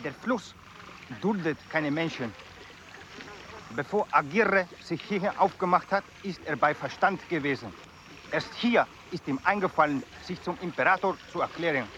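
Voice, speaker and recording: A man's voice: on the high side, normal speed, pushed and bright, slight background noise. An actor playing a boastful, blunt hired river boatman in a radio drama. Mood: blunt, ominous.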